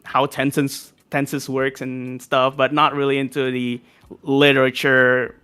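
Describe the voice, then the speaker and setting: A man's voice: mid-range voice, monotone, slow pace, sharp tone, smooth. A young man from Indonesia speaking English with an Indonesian accent, a music composer answering interview questions casually and hesitantly, with many ums and self-corrections.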